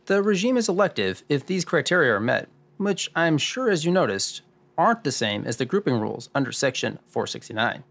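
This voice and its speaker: A man's voice: a little high, sing-song, brisk, vocal fry, fairly bright tone, heavily acted. A man playing a wry, wordy tax sleuth who narrates his own thoughts in a noir radio drama pastiche. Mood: matter-of-fact. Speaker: host and narrator.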